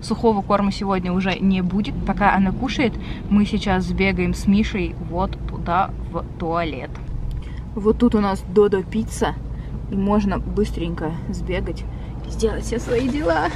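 A young woman in her twenties, chatty and lively, talking to viewers and cooing at her cat in a car. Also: medium pitch, moderate pace, noisy background. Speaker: pet vlogger. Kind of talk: casual vlog talk to viewers. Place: inside a car at night.

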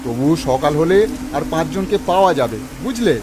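Man acting young village fellow, high voice, animated pitch, reluctant, pragmatic, protesting, cartoon drama. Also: normal speed, noisy background, lightly acted. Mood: pleading, reluctant. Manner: reasoning, protesting. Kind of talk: cartoon ghost-story dialogue.

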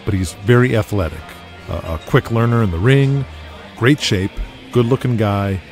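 A man's voice: lowish voice, normal speed, faint music behind, natural voice. A man voicing a respectful, starstruck young wrestling fan, hesitant and polite. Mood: hesitant, earnest.

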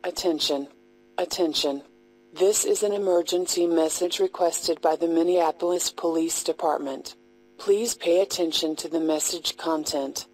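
Low-pitched woman reading a formal emergency alert. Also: darkish tone, poor audio. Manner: measured, impersonal reading. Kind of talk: formal scripted emergency announcement.

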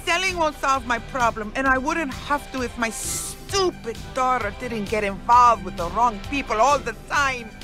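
A man's voice: extremely high pitch, normal speed, few pauses, over music. A man voicing a desperate, anxious nightclub owner in a crime game, pleading as he talks. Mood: anxious complaint. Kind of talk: video game cutscene acting.

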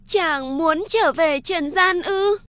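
Woman as a high-voiced gentle sea princess. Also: slow, no room sound, clean studio sound, heavily acted. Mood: sad, pleading. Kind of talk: cartoon folktale voice acting.

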